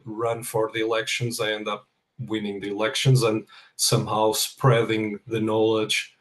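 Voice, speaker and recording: A middle-aged man with a Portuguese accent speaks as a guest in an interview. His voice is low and flat in intonation, and his delivery is halting, with repeated words as he introduces himself. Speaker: guest speaker, project controls professional.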